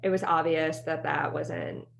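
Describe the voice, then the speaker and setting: A woman's voice: low-pitched, fairly even intonation, unhurried, vocal fry, slight background noise. An American woman co-hosting a podcast, chatting casually in informal, slangy phrasing full of "like". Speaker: podcast co-host. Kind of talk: casual chat.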